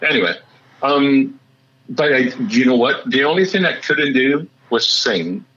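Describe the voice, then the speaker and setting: A man with a slightly raspy voice, a musician chatting excitedly in an interview and often restarting his sentences. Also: medium pitch, measured pace, highs cut off. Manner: excitable, rambling with many restarts. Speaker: musician guest. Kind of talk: casual interview chat.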